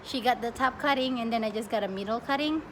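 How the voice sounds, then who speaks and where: A young woman from the Philippines chatting casually as a plant vlogger, expressive and often breaking off mid-sentence. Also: a little high, quick pace. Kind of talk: casual get-to-know-me question-and-answer chat. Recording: clearly noisy home recording.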